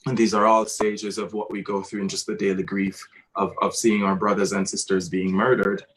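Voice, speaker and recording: A young man with a Jamaican accent, speaking earnestly and haltingly in an emotional artist talk about his theatre work. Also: on the low side, flat delivery, background noise. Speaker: theatre director.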